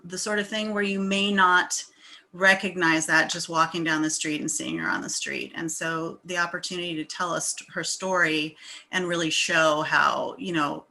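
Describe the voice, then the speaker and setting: A woman speaking informally as a writer introducing the script, halting as she thinks aloud. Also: lowish voice, sharp tone. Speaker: screenwriter introducing own script.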